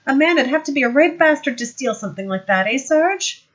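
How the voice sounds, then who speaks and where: A woman's voice: sits high, expressive, a little fast, muffled recording, pitched above the performer's own voice. A woman playing a smug, oily scheming sergeant. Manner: loud, oily and falsely innocent.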